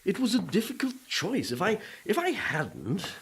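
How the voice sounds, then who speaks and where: A man's voice: sits high, wildly swooping pitch, normal speed, a bit bright, breathy edge, heavily acted. A man playing the ghost of a dour, guilt-ridden Puritan preacher in a comic radio play, pompous and exasperated in manner. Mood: uneasy, self-justifying. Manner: pompous, exasperated, self-justifying.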